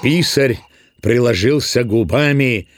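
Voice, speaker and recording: A man narrating a literary audio drama in a measured, expressive storytelling style. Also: medium pitch, no echo, close to an everyday voice.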